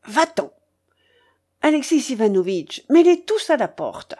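A woman voicing an imperious, quick-tempered old grandmother in an audiobook, blunt and scolding. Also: on the high side, sing-song, darkish tone. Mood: furious, scornful. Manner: commanding, exclaiming, scolding. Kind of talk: audiobook character voice.

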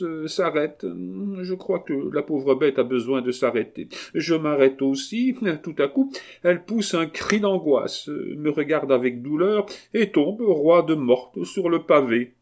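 A man's voice: a little high, unhurried, darkish tone, pitched above the performer's own voice. A man voicing a haughty, grieving marquise from a novel, plaintive and melodramatic in an aristocratic way. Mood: anguished. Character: haughty, overwrought grieving marquise mourning her little dog.

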